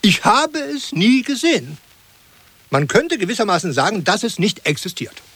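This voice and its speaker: Earnest, husky-voiced pedantic gentleman. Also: high voice, big pitch swings, normal speed, full character voice. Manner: polite, self-qualifying, earnest. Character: earnest, pedantic gentleman praising country life. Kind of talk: absurd comic radio play.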